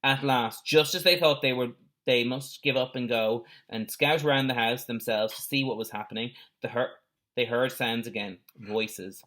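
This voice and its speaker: A man with a bright, forceful voice and a middling pitch reads a children's adventure story aloud. He sounds like a teacher at storytime, expressive and given to small explanatory asides. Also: normal speed.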